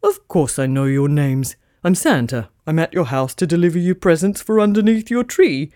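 A woman's voice: low voice, lively intonation, moderate pace, warm tone, no echo, studio quality, pitched below the performer's own voice. A woman voicing a kindly, fretful old male Santa in a children's storybook with fussy, old-fashioned phrasing. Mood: worried.

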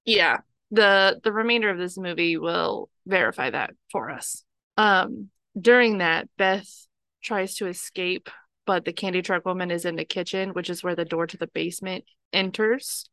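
Bright-voiced woman recapping a film. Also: lowish voice, moderate pace, no echo. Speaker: podcast co-host. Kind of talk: casual chat recapping a film.

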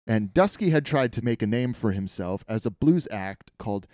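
Guy podcast host, wry storytelling, really lively pitch swings, close dry sound. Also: moderate pace, dry recording. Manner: wry, irreverent, swears casually. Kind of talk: comedic storytelling to co-hosts.

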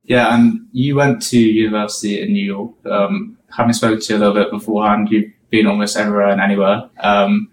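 A man interviews a guest as a podcast host. His voice is on the low side and fairly even. He is recorded a little far from the mic in an echoey space. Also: fairly quick, hardly any pauses. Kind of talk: conversational interview questions. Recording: echoey, distant mic, faint noise.

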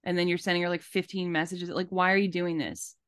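A woman's voice: fairly low pitch, flat delivery, fast, a bit bright, clear, resonant tone, no room sound, studio quality. A woman hosting a podcast, giving casual, emphatic commentary and repeating phrases for stress. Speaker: podcast host.

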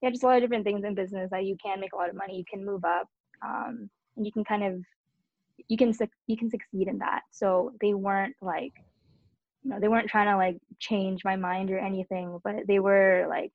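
A woman's voice: mid-range voice, steady tone, brisk, dry recording. Chatty young woman, dull dry mic. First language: Cantonese and English. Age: young adult. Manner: chatty, frequent fillers. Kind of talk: casual podcast discussion.

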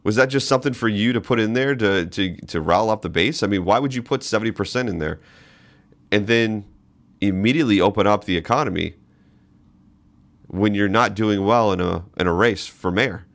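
A man with a low, deep voice delivers political commentary as a podcast host. He talks in a monologue and stresses his points emphatically, often repeating a phrase to drive it in. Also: a little fast, creaky.

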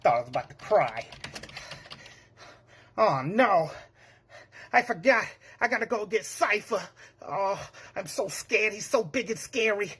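A man's voice: high voice, lively intonation, measured pace, long pauses, sharp tone, heavily acted. A man playing a nervous, bumbling young tech-support rookie, hesitant, apologetic and stammering, in a comedic monologue. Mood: panicky. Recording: clearly noisy background, keyboard clicks.

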